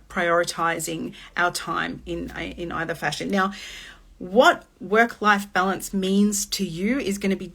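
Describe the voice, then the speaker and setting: A woman in her late thirties hosting a chatty, expressive motivational live-stream talk. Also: mid-range voice. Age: 30s. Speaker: host.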